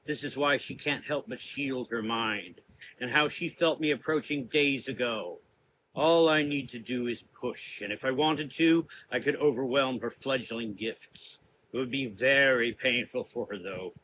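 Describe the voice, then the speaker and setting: A man playing a menacing, cerebral gothic sorcerer in an audio drama, lecturing deliberately in drawn-out, taunting phrases. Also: medium pitch, bright, forceful tone, slight background noise, poor audio. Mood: threatening.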